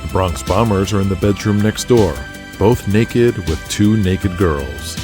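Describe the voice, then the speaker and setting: A man hosting and narrating an audio drama podcast in a steady, measured storytelling manner. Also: low voice. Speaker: narrator and host. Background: music.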